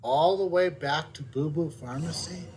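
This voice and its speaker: A man's voice: fairly high pitch, normal speed, creaky, a little mellow, a little hiss or hum. A male streamer chatting casually and thinking aloud while he plays a game. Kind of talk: gameplay commentary. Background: game music.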